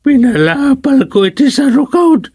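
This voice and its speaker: A man's voice: extremely high pitch, very animated, moderate pace, a little mellow, husky, dry recording, clean studio sound, full character voice. A man acting a senile, stubborn old grandfather in a radio comedy, rambling and muttering, with a northern Filipino accent. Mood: rambling, muddled.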